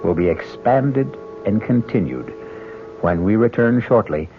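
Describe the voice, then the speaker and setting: A man hosting a radio drama, narrating in a wry, measured, scripted storyteller's manner. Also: on the low side, expressive. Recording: muffled old radio broadcast. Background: music.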